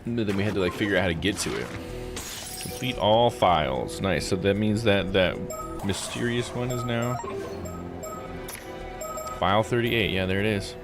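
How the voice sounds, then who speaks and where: A man with a low voice gives casual game commentary over prominent background music. He sounds laid-back and jokey, thinking aloud and paraphrasing as he goes. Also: moderate pace, long pauses. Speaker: streamer playing a visual novel. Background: video game music.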